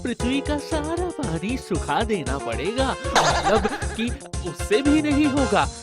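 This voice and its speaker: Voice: medium pitch, big pitch swings, slow pace, loud music behind the voice. A performer voicing a timid male divine record-keeper in a cartoon dub, hesitant and stammering. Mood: alarmed.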